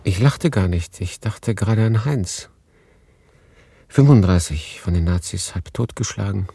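A man's voice: fairly low pitch, expressive, measured pace, creaky, dark tone, breathy edge, full character voice. An actor playing a weary, reflective refugee who looks back and narrates his story in a brooding, confiding way in a radio play. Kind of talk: dramatic first-person narration in a radio play. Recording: music behind the voice.

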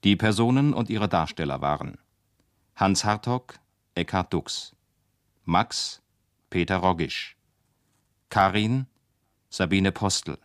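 Man, radio announcer, deep voice, slow measured credits reading, formal, dry studio recording. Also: no room sound. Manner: measured, formal, pausing between names. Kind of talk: formal scripted closing credits.

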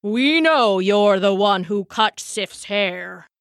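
Woman playing slow, commanding old Odin, king of gods. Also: medium pitch, animated, no echo, clean studio sound. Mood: stern, grave.

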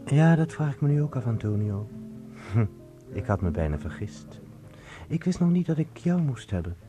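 An actor playing a sinister, mocking stranger in a Dutch radio play, with a sly, insinuating delivery. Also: male voice, medium pitch, big pitch swings, normal speed, over music, natural voice. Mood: mocking, ominous.

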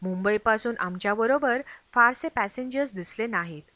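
A woman's voice: fairly high pitch, normal speed, sharp tone, no echo. A woman reading a travel memoir aloud as scripted audiobook narration. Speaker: audiobook reader.

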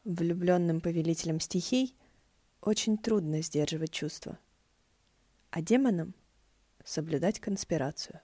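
A woman reading fan fiction aloud as an expressive audiobook narration. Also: on the low side, measured pace, long pauses, no echo.

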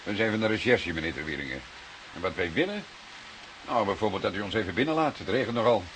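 An actor playing a senior police detective in a Dutch radio drama, deliberate, formal and dryly sardonic, with unhurried authority. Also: male voice, fairly low pitch, lively intonation, a bit bright, rough recording. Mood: formal, polite. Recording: hissy old radio play recording.

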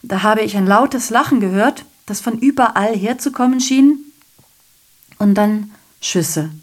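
An actress playing a garrulous, self-pitying messenger in a German radio play, giving a digressive, theatrical monologue that keeps correcting itself. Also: female voice, mid-range voice, measured pace, close to an everyday voice. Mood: confiding, rueful. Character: garrulous, self-pitying messenger reporting a night of revelry and shootings.

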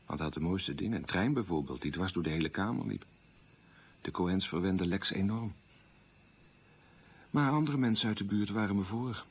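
A troubled middle-aged man looks back on his boyhood with candour in a radio play. His voice is deep and husky, his telling plain and anecdotal. The recording sounds dull and dated. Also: expressive, moderate pace, long pauses, heavily acted. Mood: candid, self-reproaching. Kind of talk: radio play monologue in interview manner. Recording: muffled old radio recording.

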